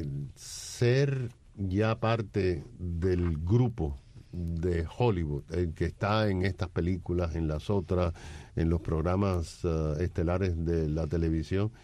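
Low-voiced male host, dry close sound, lots of "eh"s, agreeable. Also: slow, vocal fry, warm tone, dry recording. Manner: agreeable, many fillers and interjections. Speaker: talk show host. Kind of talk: conversational interview.

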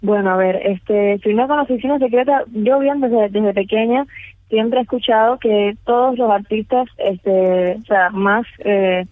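A woman's voice: medium pitch, measured pace, no echo. A Cuban woman, a singer, chatting as a guest on a radio show over the phone, with many hesitations and fillers. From Cuba. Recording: tinny phone line.

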